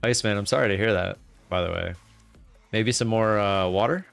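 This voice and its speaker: A man in his late thirties with a fairly low, clean and resonant voice hosts a live stream. He rambles in a relaxed way and reads viewer comments aloud. His talk is full of "uh" fillers and restarted sentences. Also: a little fast, vocal fry, no echo, soft background music. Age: 30s.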